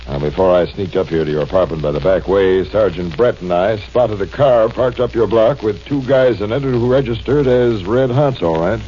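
An actor playing a gruff, dry-humored male police homicide captain in a 1940s radio drama. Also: on the low side, moderate pace, few pauses, dark tone, a little hiss or hum, natural voice. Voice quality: gruff, dry, matter-of-fact. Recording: dull old radio recording, faint music.

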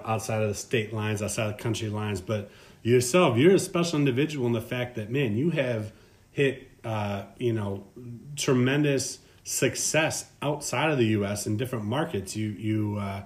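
A man's voice: on the low side, normal speed. A man hosting a casual interview, effusive and slangy, asking long winding questions and calling his guest "man". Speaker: podcast host.

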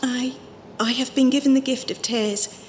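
Woman playing a high-voiced, fervent religious visionary. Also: steady tone, normal speed, close to an everyday voice. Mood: fervent, troubled. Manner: earnest, emotional, pious. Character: fervent, fearful wandering female religious visionary. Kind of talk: audio drama acting. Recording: reverberant hall, faint background noise.

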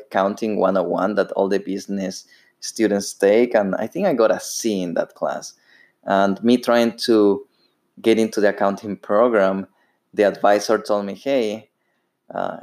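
A man's voice: lowish voice, a little mellow. A man in his thirties from Ecuador with a Spanish accent, hosting a solo podcast and speaking unhurriedly with frequent fillers and restarts. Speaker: podcast host.

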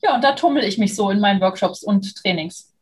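A woman's voice: mid-range voice, animated, brisk, a little mellow, slightly rough. A woman in her thirties from southern Germany, talking casually as an interview guest. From Munich, southern Germany. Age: 30s. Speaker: interview guest, freelance trainer and moderator.

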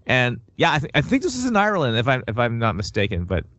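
A man's voice: medium pitch, wildly swooping pitch, fast, a bit bright, no room sound. A male musician talking as an interview guest, chatty and rambling, often restarting his words. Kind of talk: casual interview. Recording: dull, lo-fi, dry sound.

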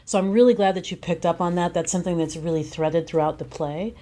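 A woman's voice: fairly low pitch, fast talker, mellow. An American woman, middle-aged or older, talking reflectively as a guest playwright in an interview, explaining thoughtfully and building points through repeated phrases.

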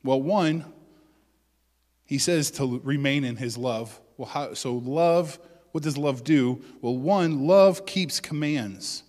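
A male pastor giving a conversational sermon, with joking asides and loud bursts of emphasis. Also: mid-range voice, slow pace, big-room sound.

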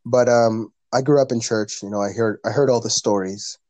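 Casting: guy, mid-pitched, earnest and rambling, podcast guest, dry recording. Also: steady tone, normal speed, warm tone, no room sound. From Canada. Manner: earnest, rambling, frequent fillers and restarts. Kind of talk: casual conversation.

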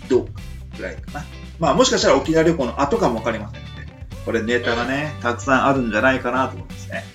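Lively, quick-talking male radio host. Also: mid-range voice. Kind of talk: casual radio talk.

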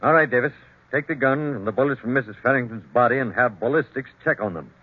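An actor playing a hard-nosed, suspicious police captain who questions curtly and accusingly, in 1940s radio drama style. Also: male voice, medium pitch, fast, natural voice. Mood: accusing, firm. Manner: curt, accusatory interrogator. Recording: muffled, phone-like old radio recording.

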